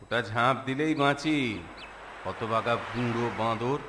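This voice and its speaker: A man voicing a stingy, excitable village master in a cartoon story, grumbling and repeating his words. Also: mid-range voice, a little slow, noisy background, close to an everyday voice. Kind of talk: Bengali cartoon story acting.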